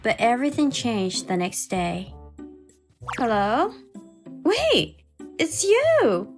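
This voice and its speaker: High-voiced, earnest young wife, acted by a woman. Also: sing-song, slow, heavily acted. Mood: stern, suspicious, then surprised, relieved. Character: Madoka, wary, hurt young wife narrating her troubles. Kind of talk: dramatized story narration, manga dub. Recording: music behind the voice.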